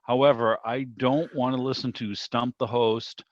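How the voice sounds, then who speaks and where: A man's voice: mid-range voice, steady tone, normal speed, no room sound. A man chatting casually and asking questions in an online meeting over lo-fi video call audio. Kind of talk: casual discussion.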